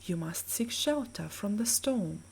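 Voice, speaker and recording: A woman with a soft, breathy voice narrating a scripted guided sleep meditation in a soothing, measured, gently instructing way. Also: mid-range voice, expressive, slight background noise.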